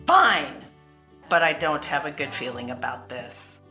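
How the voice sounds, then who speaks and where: Woman acting wary bandmate, low and sharp. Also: sing-song, measured pace, heavily acted. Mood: reluctant. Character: wary, grumbling woman in a reckless band. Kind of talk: comedy radio play acting. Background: faint music.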